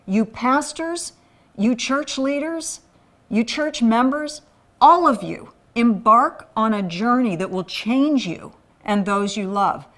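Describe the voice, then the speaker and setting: A woman's voice: fairly high pitch, expressive, takes it slowly, a bit bright. A woman raised in Thailand, delivering an earnest scripted appeal for a men's ministry. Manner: earnest, pleading.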